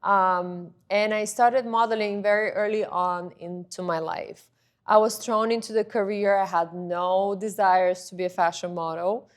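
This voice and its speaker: A woman's voice: mid-range voice, fairly even intonation, a little slow. A woman, a guest in an interview, telling her story in a chatty, rambling way. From Bulgaria.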